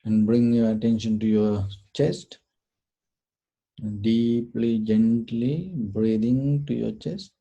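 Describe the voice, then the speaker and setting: A man leading a guided meditation, giving short, deliberate instructions in a gentle, soothing manner. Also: medium pitch, fairly even intonation, gravelly, dull audio. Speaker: meditation teacher.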